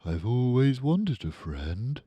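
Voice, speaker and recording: A man's voice: medium pitch, sing-song, slow pace, dark tone, breathy edge, no echo, lo-fi sound, voice pushed up, exaggerated voice. A man voicing a small, innocent toy bear full of wonder, speaking earnestly as a storybook character. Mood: wistful, content.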